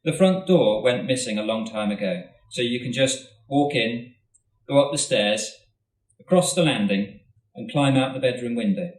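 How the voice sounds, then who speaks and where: A man, an author, reading his own short story aloud at a festival event in a steady, measured way, recorded in a hall from a distance. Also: mid-range voice. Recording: roomy hall, mic at a distance.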